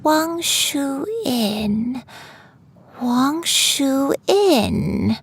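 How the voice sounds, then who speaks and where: A woman voicing a serene, dreamy elderly grandmother in a video game, with a soft, breathy voice. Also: high-pitched, expressive, extremely slow, a little hiss or hum, heavily acted. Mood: reminiscing. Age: older.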